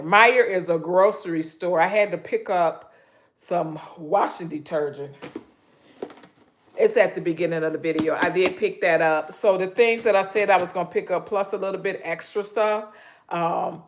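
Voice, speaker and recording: A woman from Michigan hosting a casual live stream from her home kitchen, with a loud voice, rambling and chatty, full of ums as she talks straight to her viewers through a phone camera. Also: lowish voice. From Michigan, USA.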